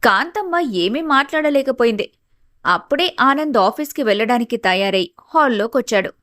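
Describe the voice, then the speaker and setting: A woman's voice: fairly high pitch, animated, normal speed, fairly bright tone, dry recording, studio quality. A woman giving scripted narration of a family story.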